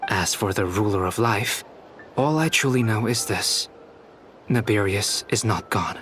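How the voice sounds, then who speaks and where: Calm, low-voiced scholarly young man. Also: a little hiss or hum. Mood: calm, thoughtful. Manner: measured, reflective, formal diction. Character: calm, soft-spoken scholarly young male artificial human. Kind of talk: scripted video game dialogue. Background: background music.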